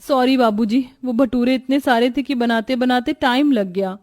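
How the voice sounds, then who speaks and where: A woman's voice: fairly high pitch, moderate pace, darkish tone, close to an everyday voice. A woman voicing a young, put-upon new bride who complains and protests plaintively in a cartoon story. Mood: meek.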